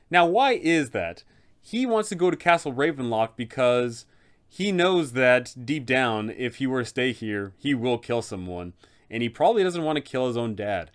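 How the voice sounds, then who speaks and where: A man's voice: medium pitch, clean tone. Man narrating a tabletop game guide. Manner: addresses the viewer directly, poses rhetorical questions. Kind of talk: explanatory video narration with advice for game masters.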